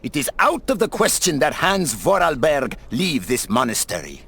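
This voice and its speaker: Stern, husky, fanatical monk. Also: male voice, fairly high pitch, very animated, normal speed, a bit bright, full character voice. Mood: stern, condemning. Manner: preachy, imperious, indignant. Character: stern, fanatical monastery priest, zealous and threatening. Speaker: voice actor. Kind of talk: adventure game dialogue.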